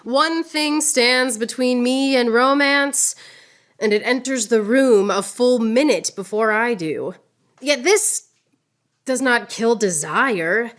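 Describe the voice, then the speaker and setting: A woman's voice: fairly high pitch, slow, fairly bright tone. A woman playing a proud, witty swordswoman poet in radio theatre, declaiming verse with mocking precision. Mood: wistful, romantic.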